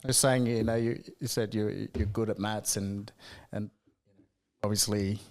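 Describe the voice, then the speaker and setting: A man's voice: on the low side, fairly even intonation, a little slow, a little mellow. A man hosting a casual interview, friendly and teasing his guest. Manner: friendly, teasing, laughs along. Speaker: show host.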